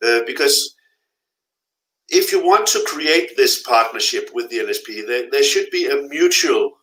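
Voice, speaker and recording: A man's voice: mid-range voice, animated, a little slow, fairly bright tone. Blunt middle-aged man in an echoey room. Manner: frank, blunt warnings with repeated emphasis. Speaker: guest, freelance translator. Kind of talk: advice in a live interview.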